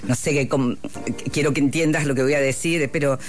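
A man's voice: a little high, fairly even intonation, faint music behind. A man interviewing a writer, phrasing his questions carefully and answering back with "claro". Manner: measured, searching phrasing, comes back with "claro".

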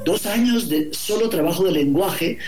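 A man from Guatemala, a novelist, answering interview questions in a thoughtful, halting way, repeating words and tagging phrases with "no?". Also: sits high, expressive, reverberant, over music. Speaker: novelist guest.

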